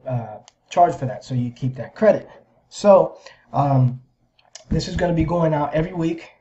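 A man in his thirties talking through a screen-share explainer casually, in a halting, repetitive way as if thinking aloud. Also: medium pitch, mellow. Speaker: video host sharing a business plan. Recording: slightly dull, lo-fi microphone sound.

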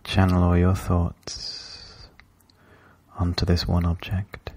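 Very slow, low-pitched male meditation guide. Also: husky, no room sound. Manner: calm, measured, instructional with long pauses.

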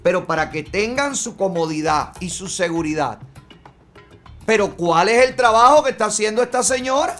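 High-voiced Cuban man, indignant commentary. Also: animated, moderate pace, a bit bright, soft background music. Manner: indignant, rhetorical questions, clipped words. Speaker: host. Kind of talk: opinion commentary. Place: studio with yellow backdrop.

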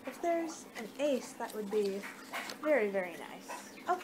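A young woman speaks slowly in an improvised ASMR roleplay, at a medium pitch. She sounds distracted, often muttering to herself. The amateur recording from a small room at home has clear background noise. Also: big pitch swings. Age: young adult. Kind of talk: ASMR roleplay, improvised reading of fortune cards. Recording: noisy amateur webcam-style room recording.

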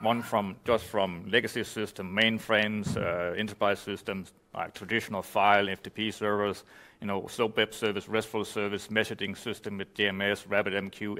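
A man from Denmark, giving a relaxed technical conference talk and explaining with many "you know" fillers. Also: lowish voice, gravelly. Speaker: conference speaker. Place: conference room.

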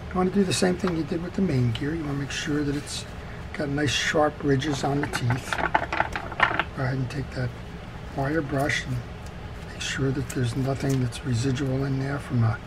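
A man giving a methodical, casual step-by-step repair tutorial as he works at a workbench. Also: medium pitch. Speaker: fishing reel repairer presenting a tutorial. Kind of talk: hands-on instructional demonstration, casual step-by-step talk. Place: indoors at a wooden workbench. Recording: steady background noise.

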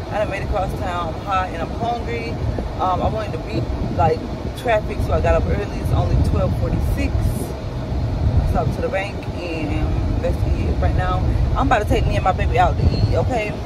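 Loud car and air-conditioning noise surrounds a young woman. Her voice is on the low side of ordinary talk or higher, chatting informally to a mounted camera in a drawling, easygoing vlog style. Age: young adult. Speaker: family vlogger. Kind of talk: casual chat to camera. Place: inside a car. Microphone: camera or phone on a car mount.

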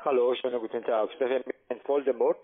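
Call center guy, halting sales pitch, thin phone audio. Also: steady tone. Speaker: customer service telesales agent. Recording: tinny telephone line.

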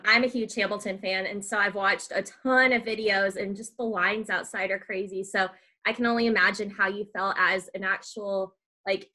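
A woman's voice: medium pitch, sharp tone, poor audio. A woman in her twenties hosting a casual interview over a video call, speaking in a gushing, enthusiastic way. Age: 20s. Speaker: podcast host.

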